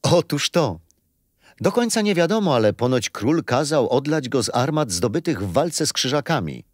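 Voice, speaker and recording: An actor playing a kindly, patient grandfather who explains history with gentle amusement in a children's radio play. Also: medium pitch, very animated, normal speed, dry recording, clean studio sound. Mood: patient, amused.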